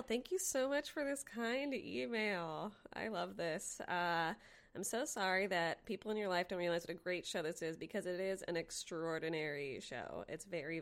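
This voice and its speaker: A woman podcast host with a fairly low voice chats casually about listener mail. She is effusive and playful, leaning on words and repeating them for emphasis. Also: normal speed.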